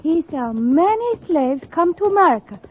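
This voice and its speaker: High-voiced, humble Chinese servant girl. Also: sing-song, normal speed. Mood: meek, earnest. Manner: broken English, short simple phrases. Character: humble, devoted young Chinese slave woman in the Old West. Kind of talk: 1950s radio western acting. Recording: dull, noisy 1950s radio recording.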